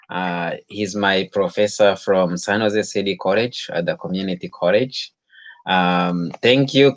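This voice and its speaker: A man in his thirties speaks with a Rwandan accent in a lowish voice. He addresses listeners informally as an author, often pausing and rewording as he goes. The sound is dry, with very little room echo. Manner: halting, repeats and rephrases phrases. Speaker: author hosting a book-reading talk.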